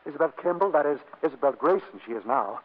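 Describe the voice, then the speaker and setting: Hapless young husband, stammering and evasive; quick, animated delivery on muffled old radio comedy. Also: male voice, on the high side. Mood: flustered, evasive. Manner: stammering, excuse-making. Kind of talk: 1940s radio comedy acting.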